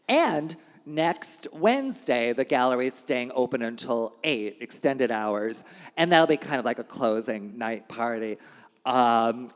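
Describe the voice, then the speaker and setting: A man hosting a live reading, speaking to the room informally and reading aloud from satirical writing. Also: mid-range voice. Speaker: host and reader at a live reading event. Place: indoor gallery event space. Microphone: handheld event microphone. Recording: reverberant hall live recording.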